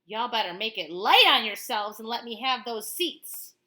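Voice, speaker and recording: A woman voicing a gruff, bullying male bus driver from a children's storybook, barking bossy orders. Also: a little high, lively intonation, moderate pace, bright, forceful tone. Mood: stern, demanding.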